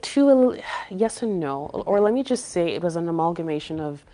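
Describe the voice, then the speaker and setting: A woman who grew up in Saudi Arabia, a podcast guest speaking thoughtfully and pausing often to search for words. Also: fairly low pitch, animated, dark tone. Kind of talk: casual interview talk.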